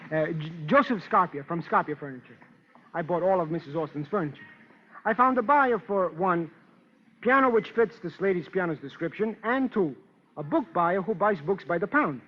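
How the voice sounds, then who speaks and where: An actor playing a fussy, oily furniture dealer in an old radio crime drama, hesitant and ingratiating. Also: male voice, high voice, expressive, normal speed. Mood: fussy, pushy. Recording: muffled, faintly noisy old radio recording.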